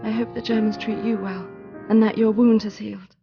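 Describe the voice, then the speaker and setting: A woman's voice: medium pitch, flat delivery, fairly quick, gravelly, lo-fi sound, faint music behind, close to an everyday voice. An actress playing a worried, loving young mother reading a letter to her father in a video game. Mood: anxious, tender. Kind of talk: dramatic letter reading voice-over.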